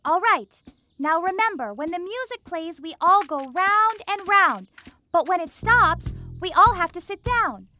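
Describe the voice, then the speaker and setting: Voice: lively intonation, measured pace, soft background music, exaggerated voice. A performer voicing an eager, friendly child character in a kids' cartoon, explaining things simply in a childlike voice. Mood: excited. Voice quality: high, bright, childlike. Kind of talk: animated kids' cartoon dialogue.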